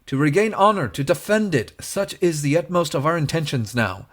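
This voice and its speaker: Stern period Duke male actor, slightly high register, imperious, formal audio-drama delivery. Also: expressive, moderate pace. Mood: stern, commanding. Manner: formal, imperious, archaic diction. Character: stern, commanding Duke presiding over a duel. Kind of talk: stage-play audio drama acting.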